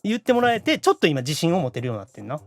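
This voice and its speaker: A man's voice: fairly high pitch, huge pitch swings, very fast. A man with a Kansai Japanese accent hosting a podcast with casual, playful banter, protesting in mock outrage and reading out listener messages. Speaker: podcast host and voice actor.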